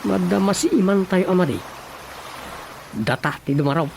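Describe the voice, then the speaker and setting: A man's voice: high-pitched, expressive, moderate pace, creaky, slight background noise. A man with an Ilocano Filipino accent playing a teasing, watchful village councillor in a radio drama. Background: music.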